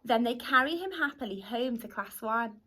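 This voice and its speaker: A woman teacher reading a rhyming picture book aloud to young children in an expressive storytelling manner. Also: on the high side, moderate pace. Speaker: primary school teacher.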